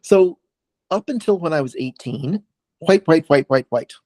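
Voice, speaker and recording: A man's voice: on the high side, animated, a little slow, dark tone, dry recording. An American man in his forties from Oregon, a podcast guest chatting casually over a video call, rambling with many fillers and restarts. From Oregon, USA. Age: 40s. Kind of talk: casual conversation.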